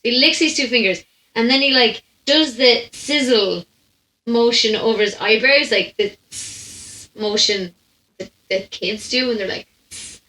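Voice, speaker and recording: A young woman with an Irish accent hosts a storytelling podcast. Her voice is fairly high and sharp-edged, and she talks in an animated, chatty style. The room adds a noticeable echo around her. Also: a little slow. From Ireland. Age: young adult. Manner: animated, chatty, laughs while telling. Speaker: podcast host. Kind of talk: casual comic anecdote storytelling. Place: indoor room at home. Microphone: condenser microphone on stand, close. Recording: roomy echo.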